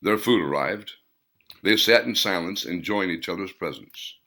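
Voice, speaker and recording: A man reading his own story aloud in a plain, unhurried narrating manner. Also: low voice, expressive, bright, forceful tone.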